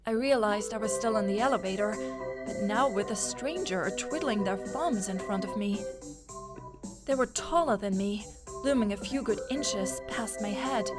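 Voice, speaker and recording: A woman giving suspenseful, atmospheric first-person narration of a visual novel, acting as a college student. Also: mid-range voice. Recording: loud music under the voice. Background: prominent background music.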